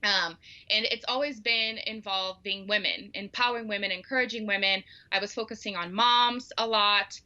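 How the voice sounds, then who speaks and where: A woman in her thirties with a Brazilian Portuguese accent, a guest on a podcast. Her voice is very bright and sharp but sounds dull and lo-fi over a remote line. She talks in an eager, rambling way. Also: mid-range voice, steady tone, normal speed. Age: 30s. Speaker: guest, mom coach. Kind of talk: casual interview talk.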